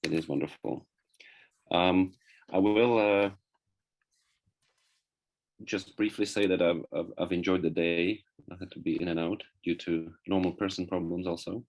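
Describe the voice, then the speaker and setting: Very deep-voiced, halting man. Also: little pitch movement, darkish tone. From Czech Republic. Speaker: neurosurgeon, guest presenter. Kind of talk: informal conference presentation. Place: online video meeting.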